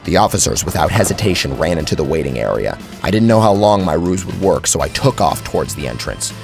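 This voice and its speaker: A man's voice: low voice, sing-song, fast, vocal fry, over music. A man narrating a first-person horror story in a theatrical, suspenseful way.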